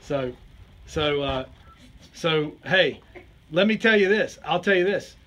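A man's voice: on the high side, lively intonation, takes it slowly, a bit bright. A man in his thirties speaking as an attorney in a small office, teasing and mock-serious as he presses with questions. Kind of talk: bantering speakerphone call with a scam caller. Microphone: handheld phone camera at close range. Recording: noisy handheld phone video.

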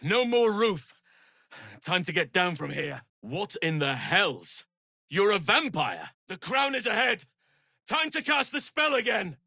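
An actor playing a big, calm, earnest male elf druid in a fantasy video game, speaking in a measured, gentle, solemn way. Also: sits high, gravelly, no echo, clean studio sound, exaggerated voice. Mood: urgent, shouting.

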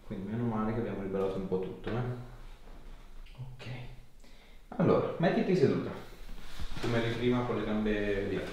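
A man's voice: fairly low pitch, normal speed, pauses often, a little mellow. A man, an osteopath giving a patient casual, reassuring short instructions in a small clinic room. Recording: echoey small room, background noise, off-mic.

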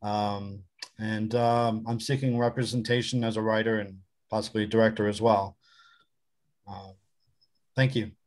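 A man's voice: fairly low pitch, little pitch movement, measured pace, pauses often, a little mellow. A man, a screenwriter, introducing himself and his credits on a video call. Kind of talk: self-introduction pitch.

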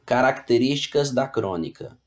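A man's voice: mid-range voice, expressive, moderate pace. A male teacher giving an online class, reading slide text aloud and then rephrasing it in plain words, often checking in with the students. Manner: explains casually, checks in with "né?" and "tá bom?".

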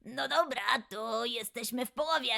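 A woman voicing a playful, excitable little boy adventurer for a children's audio quiz, with drawn-out, singsong delivery. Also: fairly high pitch, creaky. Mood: teasing suspense. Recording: music under the voice.

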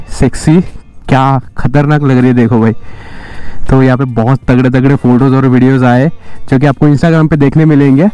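A young man rambles cheerfully to his viewers while out on his motorbike, his voice sitting a little on the high side. He speaks from the road, caught by a camera mic, with faint music underneath. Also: mellow, clean tone, dry recording, highs cut off. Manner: chatty, addresses viewers as guys and brother. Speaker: motovlogger. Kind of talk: casual vlog chat. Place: outdoors on a road.

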